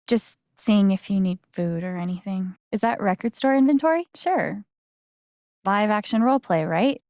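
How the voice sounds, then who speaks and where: A warm, slightly awkward young woman from a narrative video game. She talks slowly and casually, with natural hesitations and a sarcastic, emotional streak. Her mid-pitched voice is recorded very dry. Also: dry recording. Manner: casual, halting, conversational.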